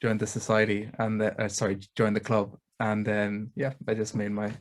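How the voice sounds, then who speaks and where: A young man speaking as a student panelist over a video call, relaxed and hesitant with many fillers. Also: fairly low pitch, moderate pace. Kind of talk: casual webinar chat.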